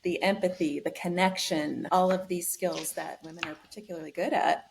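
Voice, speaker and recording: A woman speaking as a coach in a conversational interview, explaining in a measured way. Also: fairly low pitch, fairly even intonation, gravelly, a little hiss or hum. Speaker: leadership coach, podcast guest.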